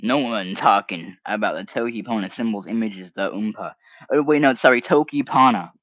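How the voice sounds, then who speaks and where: A man's voice: lowish voice, moderate pace, no room sound. A young man whose first language is Hebrew, chatting casually on a livestream and reading comments, rambling and sometimes trailing off into mumbles. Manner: rambling, animated, drops to mumbles. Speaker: livestream host. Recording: dry, slightly muffled home mic.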